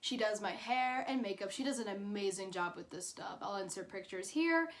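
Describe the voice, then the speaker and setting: A woman's voice: medium pitch, animated, normal speed. A woman in her twenties telling a personal story casually, in a chatty, informal way with dramatic emphasis. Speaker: YouTube storyteller.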